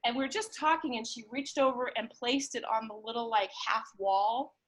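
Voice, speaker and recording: A woman chatting casually and warmly, telling anecdotes in an informal, halting way with repeated words. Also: a little high, poor audio. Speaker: conversation partner, guest. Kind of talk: casual, warm chat and anecdote.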